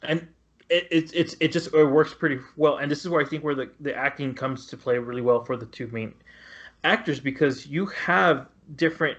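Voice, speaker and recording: A man's voice: a little high, moderate pace, fairly bright tone, lo-fi sound. A man co-hosting a film podcast, talking casually in a rambling, stop-start way. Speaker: podcast co-host.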